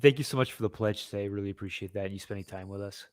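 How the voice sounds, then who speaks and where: A man co-hosting over a video call, describing his project in an earnest, measured way with restarts. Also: fairly low pitch, clear, resonant tone, dry recording. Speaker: co-host and founder of the platform. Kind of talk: casual pitch of a project.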